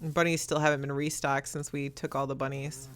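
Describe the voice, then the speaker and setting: A young woman hosting a video, talking loud and fast in casual chat as she answers viewer questions while playing a game. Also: rumbling low, level tone, noisy background. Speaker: YouTuber host.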